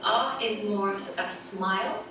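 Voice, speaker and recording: A woman from Manchester explaining English vowel sounds clearly in a lesson video. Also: mid-range voice, a little slow, recorded from a distance. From Manchester, northern England. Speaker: English teacher, guest. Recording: echoey video played in a room, heard from afar with noise.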